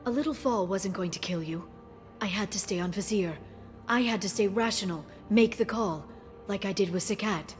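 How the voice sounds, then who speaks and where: Woman performing as rational, driven sci-fi game heroine, mid-range voice, clipped and resolute, soft score underneath. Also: mid-range voice, normal speed, lightly acted. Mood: guarded, resolute. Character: determined, coolly rational alien woman, intense and guarded. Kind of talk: dramatic video game acting. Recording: music under the voice.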